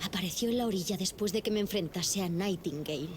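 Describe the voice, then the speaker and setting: An actress playing a determined, level-headed investigator in a dramatic game, speaking in a serious, commanding way. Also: female voice, on the low side, fast talker, background noise, natural voice. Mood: grave, explaining. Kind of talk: dramatic scripted game acting.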